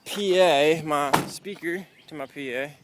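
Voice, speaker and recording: A man's voice: a little high, a little slow, noisy background. A man giving a casual, off-the-cuff walkthrough as an amateur vlogger, informal and given to mild swearing, recorded on a handheld camera in and around a car. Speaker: amateur vlogger showing a car radio install.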